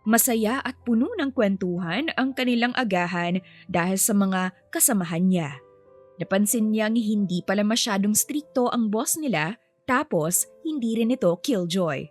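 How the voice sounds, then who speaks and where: A woman's voice: medium pitch, lively intonation, normal speed. A woman storyteller reading a scripted romance story as narration. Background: faint music.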